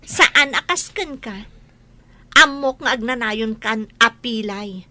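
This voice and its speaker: A woman's voice: a little high, takes it slowly, heavily acted. A woman playing a scornful, cutting unfaithful wife, taunting in a radio drama. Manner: taunting, sharp.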